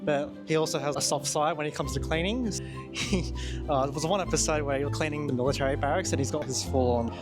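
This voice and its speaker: A man's voice: on the high side, brisk. A man from Australia chatting casually in an interview, hesitantly searching for his words. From New South Wales, Australia. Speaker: cosplayer being interviewed. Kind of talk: casual, thoughtful interview chat. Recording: loud music behind the voice.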